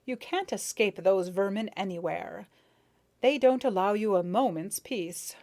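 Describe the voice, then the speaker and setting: A self-satisfied storybook rose beetle, indulgent and a little pompous, quick to bristle at rudeness, as a woman narrator puts him on. He lectures in a measured, well-pleased tone. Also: medium pitch, darkish tone, lightly acted. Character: pompous, good-natured, touchy male rose beetle.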